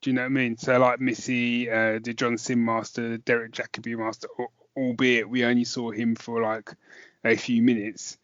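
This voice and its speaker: A man's voice: mid-range voice, level tone, moderate pace, fairly bright tone, dry recording. A man co-hosting a review podcast in a casual chatting style. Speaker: podcast co-host. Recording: dull, dry podcast audio.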